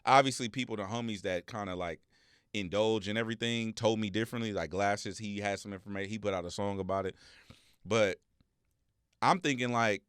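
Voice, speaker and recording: Male American co-host, fairly low voice, casual and reflective, hedges as he talks, dry studio-tight sound. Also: fairly quick, a bit bright, clean tone, dry recording. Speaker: talk show co-host. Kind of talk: casual conversational commentary.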